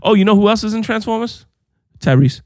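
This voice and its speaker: Young male podcast co-host, higher voice, dry close mic, ranty and profane, laughs through his lines. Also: animated, a little fast, darkish tone, no room sound. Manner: ranting, swears freely, laughs mid-sentence. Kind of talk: casual chat.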